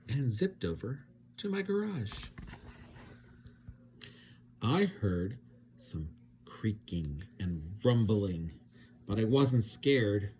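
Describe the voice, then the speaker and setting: A man reading a children's storybook aloud as a teacher, with dramatic and deliberate phrasing. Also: fairly low pitch, warm tone, slight background noise. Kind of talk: expressive children's storybook narration.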